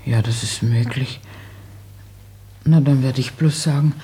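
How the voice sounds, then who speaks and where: An older actress playing an anxious, timid mother in a German radio drama, hesitant and worried, with a husky voice. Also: extremely low pitch, measured pace, mellow, heavily acted. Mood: timid, agreeing.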